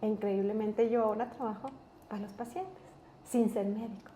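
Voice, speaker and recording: A woman with an Ecuadorian accent telling family stories as an interview guest, earnest and animated, often restarting her sentences. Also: medium pitch, moderate pace, a little hiss or hum. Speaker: guest, business executive. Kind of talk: casual interview storytelling.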